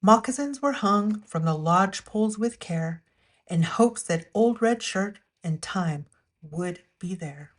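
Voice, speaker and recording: A woman reading a rhyming picture book aloud as a storyteller, with an expressive, measured storytime delivery. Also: mid-range voice.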